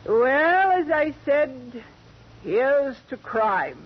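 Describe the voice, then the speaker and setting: An actress playing a grand, boozy older former stage star, theatrical and gushing, in 1940s radio acting. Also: female voice, sits high, sing-song, very slow, exaggerated voice. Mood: conspiratorial. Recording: muffled old radio recording with hiss.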